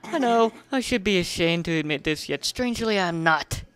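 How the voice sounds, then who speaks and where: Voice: mid-range voice, animated, normal speed, fairly bright tone, clean tone, dry recording, soft background music. A person giving an amateur dramatic reading of a first-person story, stumbling over words and correcting mid-sentence, with theatrical emphasis.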